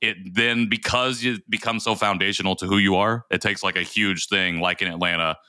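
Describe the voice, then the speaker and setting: A man's voice: low-pitched, steady tone, a little fast, sharp tone, clean tone, dry recording. A man hosting a podcast, talking in a reflective, supportive way in an earnest conversational interview. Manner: reflective, supportive, frequent "like" filler. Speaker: podcast host.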